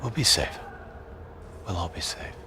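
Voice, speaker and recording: An actor playing a deluded, possessed soldier in a cinematic video game. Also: male voice, a little slow, pushed and bright, a little hiss or hum. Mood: haunted conviction. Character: deluded, possessed male soldier promising safety.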